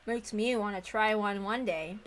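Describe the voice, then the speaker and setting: A woman's voice: medium pitch, measured pace. A woman reading visual novel narration aloud, with casual asides. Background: faint music.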